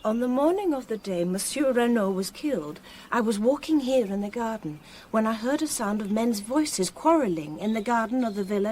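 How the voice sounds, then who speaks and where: An actress playing an earnest, resolute young French gentlewoman in a radio drama. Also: a little high, natural voice. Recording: radio drama with clear background noise.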